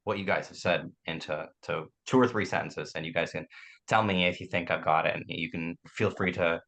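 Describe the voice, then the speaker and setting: Low, hoarse man hosting a panel. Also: steady tone, brisk, fairly bright tone. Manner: summarizing and inviting correction. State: losing voice from a sore throat. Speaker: podcast host. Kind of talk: moderating a panel discussion.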